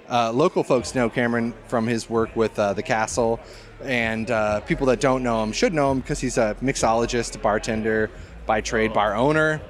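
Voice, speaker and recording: A man co-hosting a podcast, chatting casually about movies in a jokey, mildly profane way. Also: medium pitch. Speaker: podcast co-host.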